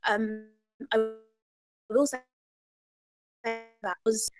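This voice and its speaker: A woman's voice: a little high, flat delivery, very slow. A woman chatting casually as she gives feedback, apologetic and tentative in her manner. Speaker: table read participant giving feedback. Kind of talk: casual chat.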